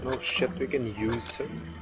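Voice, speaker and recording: A man's voice: medium pitch, fairly even intonation, measured pace. A Filipino man who speaks Tagalog natively, giving casual, crude commentary as he streams a video game. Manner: crude, swearing, muttering to himself. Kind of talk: casual live gameplay commentary. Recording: game music behind the voice.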